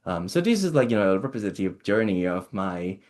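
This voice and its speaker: Young adult man from Thailand, low voice, halting seminar delivery full of fillers. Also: sing-song, a little mellow. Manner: halting, many fillers and restarts. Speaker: postdoctoral researcher giving a talk. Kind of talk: online seminar talk.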